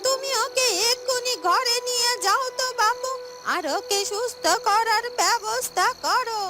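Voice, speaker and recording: A woman voicing a calm, persuasive old village woman in a cartoon, admonishing as she talks. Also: very high-pitched, unhurried, voice pushed up. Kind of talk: Bengali moral-story cartoon dubbing. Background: loud music.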